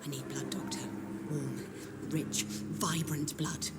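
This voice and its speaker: A woman's voice: low-pitched, fairly even intonation, takes it slowly, in a whisper. An actress playing a melancholy woman who believes she is a vampire in a gothic game, formal and theatrical. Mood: hungry, hushed. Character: melancholy female patient convinced she is a vampire. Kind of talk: dramatic video game dialogue. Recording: clear background ambient noise.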